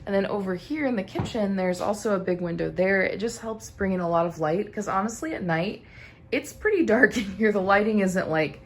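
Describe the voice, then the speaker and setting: A young Midwestern woman talks casually into a handheld camera, filling her sentences with easy fillers and small laughs. Her pitch sits mid-range, and faint background hiss runs under the recording. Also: expressive, brisk. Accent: Midwestern American. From American Midwest. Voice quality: casual, chatty with frequent fillers and light laughs. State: slightly raspy morning voice. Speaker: vlogger. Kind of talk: casual vlog chat. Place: vacation rental house and car.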